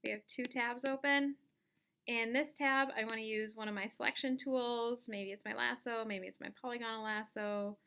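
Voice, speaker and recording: A woman giving a casual step-by-step software tutorial, thinking aloud as she demonstrates each action. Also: a little high, fairly even intonation, moderate pace, clean tone. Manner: informal, instructional, thinking aloud while demonstrating. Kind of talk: casual step-by-step screen-recorded lesson.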